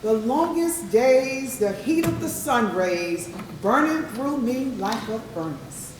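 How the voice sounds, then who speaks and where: A woman's voice: on the high side, animated, takes it slowly. Woman reciting poetry, swooping expressively, echoey hall. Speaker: poetry reader on stage. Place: live theatre stage. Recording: echoey hall, distant mic, faint noise.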